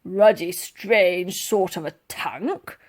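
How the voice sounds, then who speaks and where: A woman's voice: lowish voice, takes it slowly. A woman voicing a suspicious, pompous old male sergeant major for a children's storybook, with huffy, barking, emphatic delivery. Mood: huffy, disgusted.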